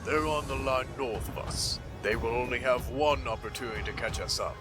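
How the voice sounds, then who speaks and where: Grandiloquent Victorian-era American gentleman male actor, wry and florid, dramatic delivery, heavy music bed. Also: medium pitch, a little fast, natural voice. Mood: grave, theatrical. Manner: formal, florid period diction. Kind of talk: dramatic comic dub acting. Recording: loud music behind the voice.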